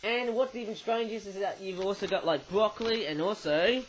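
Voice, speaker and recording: A man's voice: high-pitched, moderate pace, slight background noise, rough recording. A young Australian man casually reviewing toys, rambling on and often tagging his sentences with "eh?". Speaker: toy reviewer. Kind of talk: casual toy review monologue.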